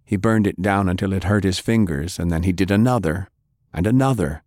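A man reading fiction as an audiobook narrator, dramatic and grave, with measured delivery. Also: low voice, a little mellow, dry recording, studio quality.

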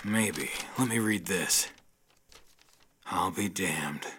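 An actor playing a wry, laconic, world-weary ex-convict detective in a hardboiled audio drama. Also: male voice, low voice, extremely slow, sharp tone, a little hiss or hum, full character voice.

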